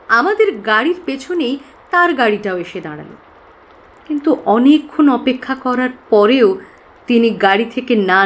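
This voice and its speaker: A woman's voice: on the high side, close to an everyday voice. An actress playing an earnest, trusting young woman client who carefully recounts her troubles in an audio drama.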